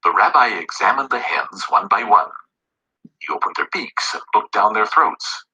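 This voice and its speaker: Very bright, animated male storyteller. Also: lowish voice, moderate pace, breathy edge, rough recording. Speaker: narrator.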